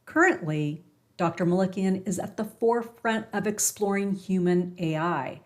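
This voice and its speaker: A woman's voice: medium pitch, normal speed. A woman podcast host who reads a formal introduction of her guest and then asks her questions.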